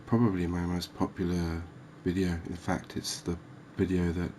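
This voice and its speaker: A man giving soft-spoken ASMR game commentary as the host, in a gentle, unhurried, hesitant way. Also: deep voice, little pitch movement, slight background noise. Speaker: gaming video host.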